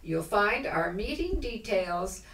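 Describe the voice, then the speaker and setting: A woman's voice: medium pitch, big pitch swings, slow pace, fairly bright tone, distant mic. A woman presenter reading a scripted introduction with dramatic narration. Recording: echoey room, away from microphone.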